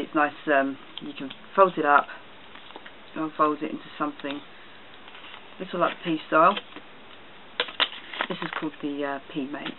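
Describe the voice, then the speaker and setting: A man giving a casual, halting show-and-tell product review for a vlog. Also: on the high side. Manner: hesitant, halting delivery. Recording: tinny phone-like sound, loud noise, clicks.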